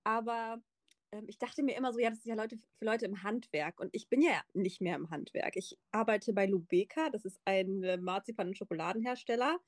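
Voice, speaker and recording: A woman's voice: medium pitch, a little fast, no echo, studio quality. A woman pastry chef as a podcast guest, chatting casually with many fillers and the odd laugh. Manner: relaxed, laughing, filler-heavy. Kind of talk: casual interview chat.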